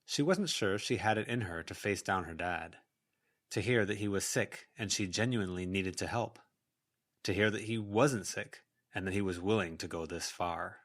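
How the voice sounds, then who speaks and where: A man's voice: lowish voice, normal speed, fairly bright tone. A man reading scripted fiction as an audiobook narrator.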